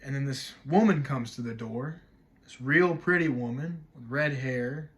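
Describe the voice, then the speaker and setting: A man performing a dramatic stage monologue as a wistful man recalling young love, telling it reflectively in short, measured phrases. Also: medium pitch, natural voice. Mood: wistful, hushed. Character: wistful man haunted by a childhood night of love.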